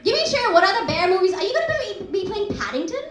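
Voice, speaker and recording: Brash comedy MC, woman, high animated voice, live roomy venue. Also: very noisy. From India. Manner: teasing, brash, quick banter with audience. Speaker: comedy MC and host. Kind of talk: stand-up crowd work. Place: small comedy pub venue. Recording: roomy live pub gig. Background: laughing crowd.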